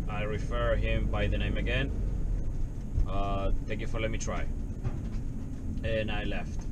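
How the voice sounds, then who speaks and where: A man in his thirties, a service technician, candidly retelling a story from his workday while sitting in his parked work van, recorded on a dash camera. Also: on the low side. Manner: candid, conversational retelling with non-native phrasing. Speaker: HVAC technician. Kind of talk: casual storytelling vlog. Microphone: dash camera, headset on. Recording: loud road and engine noise. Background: vehicle and outdoor ambience.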